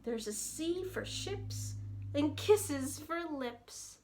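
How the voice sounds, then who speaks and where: High-pitched woman reading slowly to young children. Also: expressive, a little mellow, a little hiss or hum. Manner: addresses young children directly, playful. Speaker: guest children's storyteller. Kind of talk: picture-book story time with read-alouds and sing-along.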